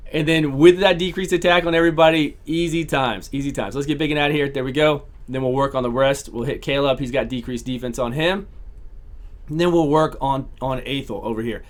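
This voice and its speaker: A man casually commentating his own gameplay, talking through each battle and repeating his phrases, his voice starting to go hoarse. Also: fairly high pitch. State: voice going hoarse. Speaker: gaming channel host.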